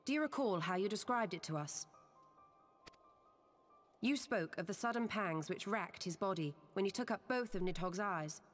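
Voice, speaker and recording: A woman voice-acting a grave, stately sage in a fantasy game, speaking solemnly and deliberately in archaic formal phrases. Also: medium pitch, animated, big-room sound, soft background music, natural voice.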